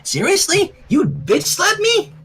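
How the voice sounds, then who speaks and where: A man voicing a loud, excitable, playful teenage girl in a fan fiction reading. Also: takes it slowly, gravelly, heavily acted. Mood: shocked. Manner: high-pitched, exclaiming.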